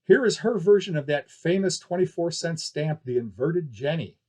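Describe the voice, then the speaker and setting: A showy flying-circus barker played by a male narrator works the crowd in an audiobook tale. His voice is fairly high for a man and husky, with grand, folksy, declamatory patter. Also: expressive, normal speed, a little mellow. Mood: boastful. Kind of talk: ballyhoo announcing in an audiobook story.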